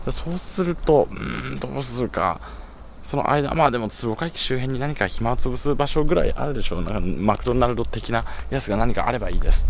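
A man's voice: medium pitch, a little hiss or hum. A young man vlogging his travels casually into a handheld camera, explaining costs and rules in detail, outdoors at night and then in a small internet cafe booth. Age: young adult. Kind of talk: casual travel vlog narration.

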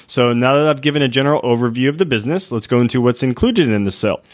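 A man's voice: medium pitch, very fast. A man hosting a business podcast, upbeat and reading listing details from a script before asking questions. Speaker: podcast host.

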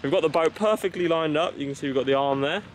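Young male sailing vlogger, casual on-the-job commentary, action-cam audio with clear water and wind noise. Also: a little high, fast talker. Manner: chatty, running commentary while working on a boat. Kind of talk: casual vlog narration. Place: outdoors on a sailboat and boat ramp. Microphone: action camera. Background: boat, water and wind noise.

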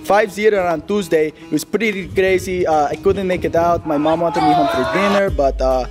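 A man voicing an excitable, cocky puppet sportscaster who rambles in slangy, emphatic comic commentary. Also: a little high, normal speed, music in the background, natural voice. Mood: rambling, earnest. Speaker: sports segment host.

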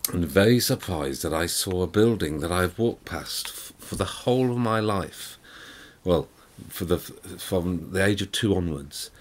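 A man's voice: sits low, lively intonation, measured pace. A middle-aged English man vlogging from his living room sofa, chatty and rambling with lots of fillers and restarts. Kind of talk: casual vlog chat to camera. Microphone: camera in front, close framing.

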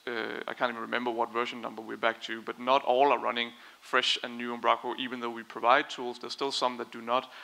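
A man in his thirties answering audience questions on a conference stage, explaining in a measured, diplomatic way. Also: medium pitch, level tone, vocal fry, bright, forceful tone, low-quality recording. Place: conference stage in a large brick and concrete hall. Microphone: headset.

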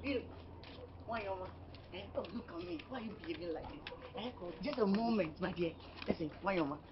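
A man's voice: high voice, sing-song, brisk. Man as cheeky skirt-chaser, giggles constantly, faint room hiss. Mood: flirtatious. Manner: laughing often, playful teasing. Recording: dull audio with faint ambience.

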